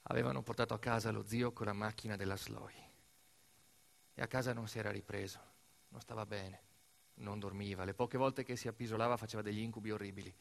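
A man performs a dramatic narrative monologue as an actor, telling the story deliberately in short, weighted phrases. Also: lowish voice, steady tone, creaky. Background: music.

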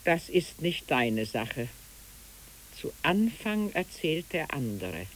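An actress playing a stern older woman in a poetic German radio drama, measured and admonishing. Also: low-pitched, very animated, heavily acted, poor audio. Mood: stern, probing. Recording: clear tape hiss.

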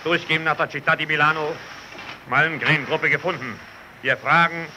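An actor playing a gruff Soviet icebreaker captain, terse and commanding, in a noisy old German radio play. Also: male voice, a little high, steady tone, normal speed, bright, forceful tone. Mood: terse orders.

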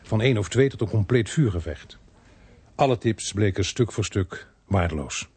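A man's voice: fairly low pitch, animated, moderate pace, darkish tone, breathy edge, no echo. A man playing the sober narrator of a detective radio drama, speaking in terse, matter-of-fact scripted sentences. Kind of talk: scripted first-person narration.

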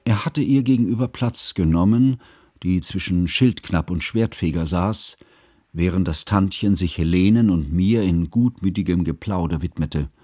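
A man acting as an educated, observant narrator in a radio play, speaking in formal, ornate and deliberate phrases. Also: low voice, warm tone, husky, no echo, clean studio sound. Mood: measured, observant. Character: educated male first-person narrator, measured, observant friend.

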